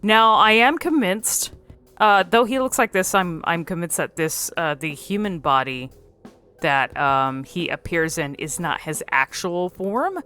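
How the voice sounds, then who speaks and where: A woman giving casual, musing commentary on a game, often pausing and repeating words as she thinks. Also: fairly low pitch, expressive, sharp tone, smooth, soft background music. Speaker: video creator commentating on a game.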